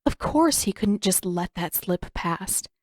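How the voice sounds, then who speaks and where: A woman reading scripted fiction as narrator, with an expressive, wry delivery. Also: medium pitch, slow pace, no room sound. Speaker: podfic narrator and author reading her own story. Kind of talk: scripted story narration with character voices.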